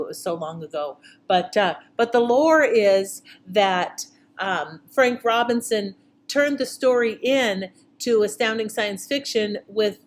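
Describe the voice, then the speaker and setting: Chatty woman host, faint noise. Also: medium pitch. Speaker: host commenting after an old radio show. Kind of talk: casual, chatty commentary to listeners.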